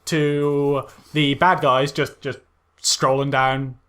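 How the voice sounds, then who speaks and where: A man's voice: medium pitch, level tone, takes it slowly, a bit bright, clean tone. A British man co-hosting a film podcast, casually recapping in a hesitant, agreeable way as he thinks aloud.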